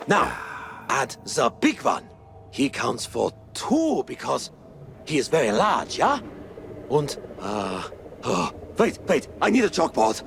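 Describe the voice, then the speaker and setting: An actor playing a giddy, fussy henchman in a cartoon game, with a German accent and halting, pedantic delivery. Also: medium pitch, huge pitch swings, gravelly, over music, heavily acted. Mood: gleeful, fussy.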